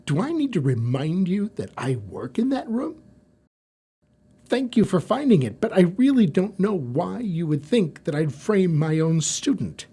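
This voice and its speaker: A man plays a flustered professor in an audio drama with a very husky, rough voice. He stammers indignantly, and his pitch swings around as he explains himself. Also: very animated, measured pace, mellow, heavily acted. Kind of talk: scripted audio drama acting.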